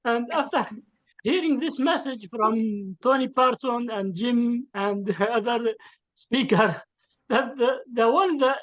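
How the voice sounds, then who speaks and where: Slow, halting man. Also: extremely high pitch. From Syria. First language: Kurdish. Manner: halting, many fillers, laughs as he talks. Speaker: participant. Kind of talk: casual sharing on a video call. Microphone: video call. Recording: lo-fi video call audio.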